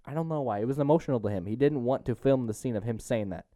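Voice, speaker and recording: A man's voice: medium pitch, fast talker, warm tone, no room sound, studio quality. A young man hosting a casual movie recap chat, a bit bossy and exasperated with his co-hosts. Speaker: podcast host.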